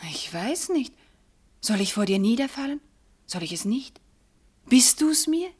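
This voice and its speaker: An actress playing a devout, loving and bewildered wife, speaking classical verse fervently and hesitantly in a radio drama. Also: female voice, fairly high pitch, very animated, unhurried, darkish tone, breathy edge, heavily acted.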